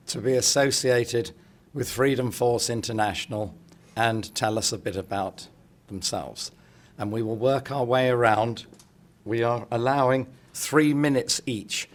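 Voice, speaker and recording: A middle-aged man with a croaky, hoarse voice opening a conference in a hotel meeting room, chatty and joking with the audience. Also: mid-range voice. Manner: chatty, jokey, addresses the room directly. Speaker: speaker opening a political congress.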